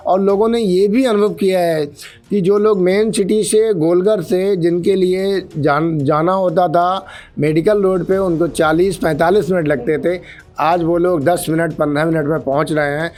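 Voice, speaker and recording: Higher-pitched man giving formal interview answers. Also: steady tone, moderate pace, darkish tone. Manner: formal, earnest, mixes in English terms. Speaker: senior traffic police officer, interview guest. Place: radio studio.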